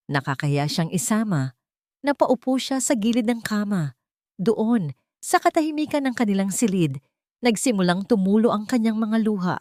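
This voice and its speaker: A woman's voice: fairly low pitch, a little mellow, no echo, studio quality. A woman with a Filipino accent narrating a scripted romantic drama as a storyteller.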